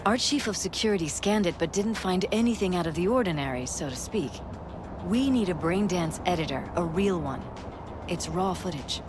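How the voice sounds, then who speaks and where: A woman's voice: fairly low pitch, lively intonation, normal speed, over music, close to an everyday voice. A woman playing a poised, shrewd middle-aged politician's wife in a video game, guarded and businesslike. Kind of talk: cinematic video game acting.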